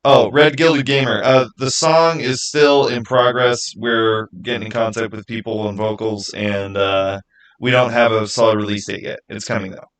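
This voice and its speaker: A man's voice: mid-range voice, fairly even intonation, moderate pace, fairly bright tone. A man hosting a livestream, chatting casually and informally straight to his viewers. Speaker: live stream host running a giveaway.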